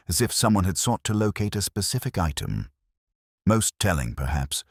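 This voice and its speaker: Very deep-voiced male mystery narrator. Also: slightly rough, no room sound, studio quality. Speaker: audiobook narrator. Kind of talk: scripted mystery story narration.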